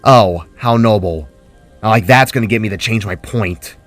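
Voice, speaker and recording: A man's voice: fairly low pitch, normal speed, no echo, soft background music, close to an everyday voice. A man voicing a hostile, hurting female spark with ice powers in a story, stern, barking and sarcastic. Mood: dry, dismissive.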